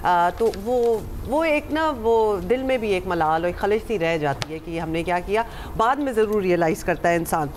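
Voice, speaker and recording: A woman's voice: lowish voice, lively intonation, moderate pace, few pauses, a little hiss or hum. A woman with a sore throat, co-hosting a morning talk show in casual chat and switching into English often. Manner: chatty, mixes English into Urdu.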